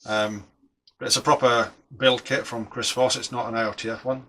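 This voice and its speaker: A man's voice: mid-range voice, little pitch movement, moderate pace, pushed and bright, a little hiss or hum. A middle-aged man chatting casually while building a model aircraft, rambling and often restarting his sentences.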